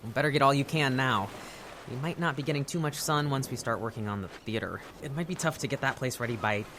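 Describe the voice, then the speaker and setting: A man's voice: mid-range voice, fast, lightly acted. Awkward, lovestruck young man, an actor's role, outdoor noise. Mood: shy, fond. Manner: hesitant, sheepish. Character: James, awkward, lovestruck, worrying young theater crewman. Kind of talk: audio drama acting.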